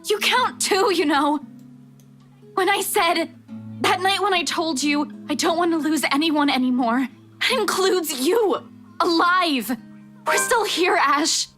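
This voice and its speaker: A woman voicing a distraught young woman who shouts and pleads at a friend in a game. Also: extremely high pitch, takes it slowly, soft background music, pitched above the performer's own voice, heavily acted. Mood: pleading.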